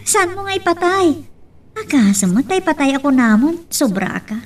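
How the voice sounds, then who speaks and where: A woman's voice: high-pitched, very animated, measured pace. A woman with an Ilocano accent playing a coy young woman in an audio drama, recorded at a distance. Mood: coy, teasing.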